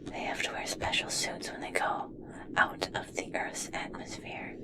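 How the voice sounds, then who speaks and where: Whispering, obsessed woman scientist, acted by a woman. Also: close to an everyday voice. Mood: fascinated, dreamy. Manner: soft, soothing, slow, coaxing. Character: obsessed, gentle female scientist fascinated by merpeople. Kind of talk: whispered ASMR roleplay.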